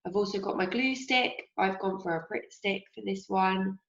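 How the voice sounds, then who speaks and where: A young woman teacher with a slightly croaky voice, gently leading a craft lesson for young children and asking them questions as she goes. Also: medium pitch, a little hiss or hum. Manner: slow, encouraging, asks children questions. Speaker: primary school teacher. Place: home kitchen, indoors. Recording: roomy, dull lo-fi sound.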